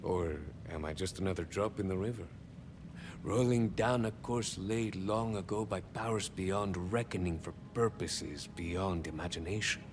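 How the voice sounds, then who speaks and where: An actor playing a swaggering young cyborg soldier of fortune in a video game, a touched flavor, musing theatrically and landing wry deadpan punchlines. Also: male voice, fairly low pitch, in a large hall, background noise. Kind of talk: video game character monologue.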